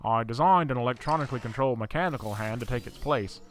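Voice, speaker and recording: A man's voice: mid-range voice, fast, slight background noise, natural voice. A man playing an older, absent-minded professor in a horror audio drama, mild and matter-of-fact in manner. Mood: apologetic.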